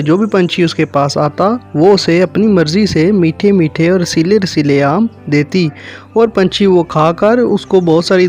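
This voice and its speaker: A man's voice: high-pitched, darkish tone. A man reading scripted narration for a children's cartoon story.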